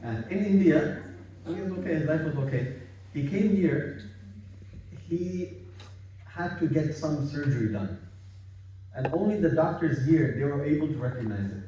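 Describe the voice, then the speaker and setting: Mellow man speaking in an echoey room. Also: a little high, expressive, normal speed, long pauses. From Bangladesh. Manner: conversational, repetitive, seeking agreement with tag questions. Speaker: Islamic study circle speaker. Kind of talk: informal religious talk. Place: gathering in a private house. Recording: echoey room, distant microphone.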